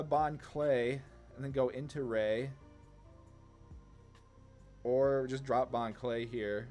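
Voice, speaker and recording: A man's voice: mid-range voice, darkish tone. Casual man thinking aloud, music behind. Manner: informal, rambling, thinking through moves aloud. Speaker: gaming content creator, player.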